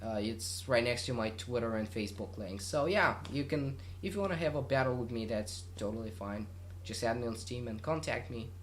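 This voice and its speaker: A man's voice: medium pitch, animated, brisk, slight background noise. A man from Bulgaria, a native Bulgarian speaker, hosting his own gaming channel and chatting loosely through viewer questions with lots of fillers and restarts. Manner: rambling, many fillers and restarts. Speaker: YouTube gaming channel host. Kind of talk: casual Q&A monologue.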